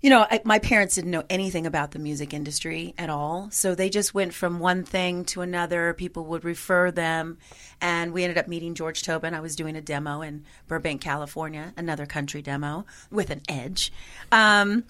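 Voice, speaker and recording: A woman singer in a conversational interview, with a gravelly voice and a chatty manner full of "you know". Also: lowish voice, fairly even intonation, moderate pace, a bit bright.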